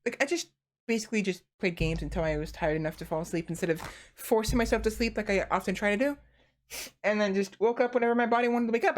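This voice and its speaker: A young woman streams from her desk, tired and chatting freely with her viewers. She rambles, restarts her sentences and leans on fillers as she talks. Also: medium pitch. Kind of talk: casual chat to stream viewers.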